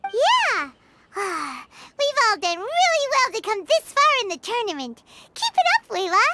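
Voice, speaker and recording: A woman voicing a small, excitable female companion sprite in a video game, with a squeaky childlike voice and emphatic, exclaiming delivery. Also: a little slow, very noisy, exaggerated voice. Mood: eager.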